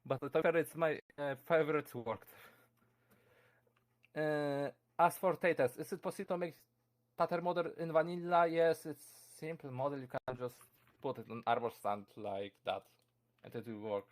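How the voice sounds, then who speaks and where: A young man with a Polish accent talks casually into a desk microphone. His voice sits a little high, and he stumbles through fillers and restarts, chuckling often. Keyboard typing can be heard behind him. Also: steady tone, moderate pace. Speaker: convention presenter. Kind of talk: casual live-streamed talk answering chat questions.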